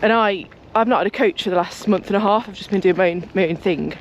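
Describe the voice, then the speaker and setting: A young woman talks to a handheld camera, rambling in easy vlog chat. Her voice is slightly croaky, at a middle pitch, with a faint hiss of noise behind her. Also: quick pace, dull audio. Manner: chatty, rambling, talks a lot. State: croaky just-woken voice. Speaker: cycling vlogger. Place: home, then outdoors on a walk.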